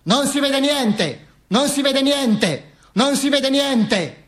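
A man voicing a frenzied crowd in a radio play, jeering and egging on in repetitive, drawn-out chants. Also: extremely high pitch, lively intonation, a bit bright, pitched above the performer's own voice, exaggerated voice. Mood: insistent clamour.

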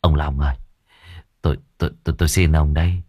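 A man voicing a fretful middle-aged spirit medium who speaks low and hesitantly, stammering. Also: steady tone, fast talker, husky, no room sound, highs cut off. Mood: flustered.

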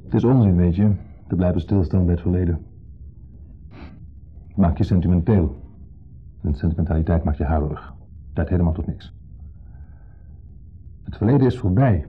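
Wistful divorced man, low husky voice, halting and sentimental, 1970s radio drama on a muffled recording. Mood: anguished longing. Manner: halting, reflective, speaks in short fragments.

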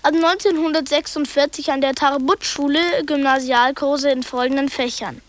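A woman narrator reading a scripted documentary text in an even, list-like recitation. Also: high-pitched, normal speed. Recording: noisy background ambience.

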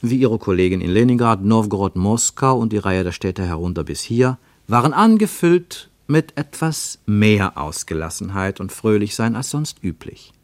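Male narrator, lowish voice, measured descriptive radio drama reading, dry studio. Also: big pitch swings, no room sound. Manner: measured, descriptive, building long lists.

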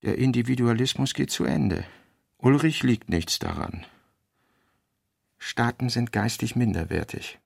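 A man reading fragmentary essayistic literary notes in a deliberate, clipped scripted radio delivery. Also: mid-range voice, creaky, slightly rough, no echo, studio quality.

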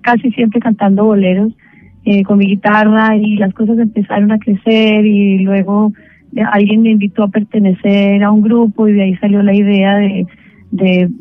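A middle-aged woman from Bogotá with a Colombian accent, a singer speaking as an interview guest, measured and grateful with frequent pauses. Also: mid-range voice. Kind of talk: phone interview. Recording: tinny phone line with faint music.

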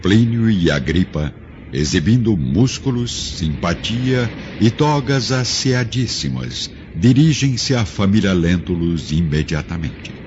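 A man narrates a radio drama in a very deep voice with animated, theatrical intonation. His Brazilian-accented delivery is solemn and literary, and the recording is lo-fi. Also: takes it slowly, a little hiss or hum. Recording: dull lo-fi radio production, music underneath.

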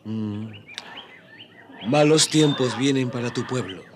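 An actor in a radio drama playing a resolute Taíno leader, speaking gravely and urgently. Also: male voice, mid-range voice, takes it slowly, close to an everyday voice. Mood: grave warning. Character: resolute Taíno leader warning of danger, urging unity.

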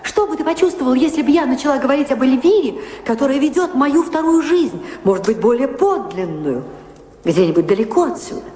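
An actress playing a proud, passionate lady of the house in a radio play, speaking in a measured, theatrical way with pointed questions. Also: high voice, animated. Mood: ironic reproach. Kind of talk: radio play stage acting. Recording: echoey hall reverb, music behind.

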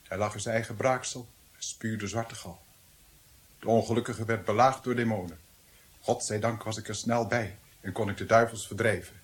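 An actor playing a stern, zealous Italian friar in a radio drama, speaking in a formal, accusing, haughty way. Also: male voice, lowish voice, steady tone, normal speed, close to an everyday voice. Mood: accusing, grave.

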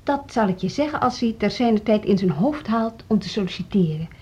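An actress playing a principled, polite but firm music teacher in a Dutch radio drama, hesitant yet insistent. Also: female voice, medium pitch, animated, brisk, a little mellow, husky, muffled recording.

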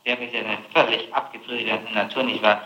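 A man working as a prosecutor questions a suspect in a halting, insistent way, often tagging statements with a question. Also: medium pitch, steady tone, bright, forceful tone. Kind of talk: spontaneous interrogation. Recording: noisy, echoey archival interrogation tape.

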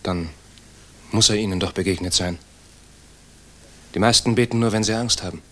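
An actor playing an idealistic, disillusioned young German officer in a 1960s radio play, earnest, halting and intense. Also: male voice, fairly low pitch, fairly even intonation, natural voice. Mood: hushed, sincere.

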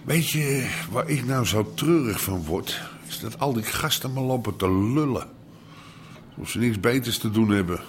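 A man's voice: mid-range voice, moderate pace, a little hiss or hum, close to an everyday voice. A man playing a tough, proud older crime boss in a radio drama, blunt and colloquial. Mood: blustering. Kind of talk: naturalistic Dutch radio drama acting.

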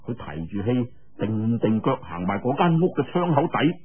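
A man's voice: medium pitch, very animated, moderate pace, husky, narrow, tinny audio. A man telling a martial arts tale in Cantonese, in a colloquial, theatrical storytelling style. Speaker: audiobook storyteller. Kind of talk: dramatic oral storytelling of martial arts fiction.

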